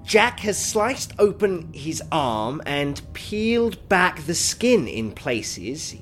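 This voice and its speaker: Slow, low, pleading carer , female actor, echoey room, faint music. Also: big pitch swings, exaggerated voice. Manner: pleading, shaken. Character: frightened female carer recording notes. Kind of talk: audio drama acting.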